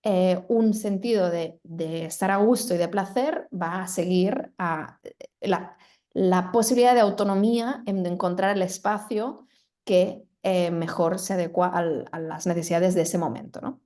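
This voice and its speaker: A woman's voice: on the low side, normal speed, dark tone. A woman moderating a video call, fluently summarizing a presentation. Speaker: moderator and interpreter. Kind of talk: quick Spanish summary of a presentation.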